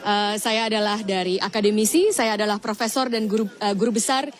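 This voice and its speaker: A woman from Indonesia, a professor, formally introducing herself in a hesitant, measured way. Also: medium pitch, fairly even intonation.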